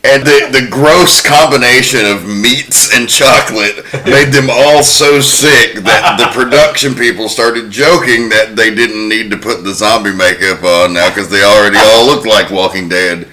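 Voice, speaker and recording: A man hosting a casual podcast chat about a film, leading the segments in a relaxed, chatty way. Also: fairly low pitch, measured pace, hardly any pauses, rough recording. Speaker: podcast host.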